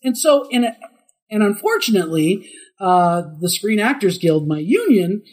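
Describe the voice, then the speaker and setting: A middle-aged man with a high tenor voice, a guest voice actor telling chatty anecdotes in an interview, laughing often and acting out the quotes. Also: big pitch swings, normal speed. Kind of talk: casual interview storytelling.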